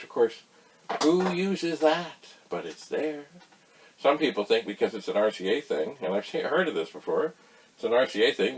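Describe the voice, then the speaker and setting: A man giving a casual, folksy explainer, rambling through anecdotes with emphatic pauses. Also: fairly low pitch, breathy edge, low-quality recording. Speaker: host of a retro computer tutorial channel.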